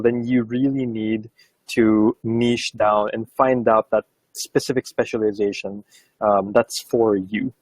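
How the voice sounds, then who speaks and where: A man's voice: mid-range voice, no echo, low-quality recording. A Filipino man whose first language is Bisaya, hosting a live interview in a casual, hesitant way. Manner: casual, hesitant, many fillers. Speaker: host.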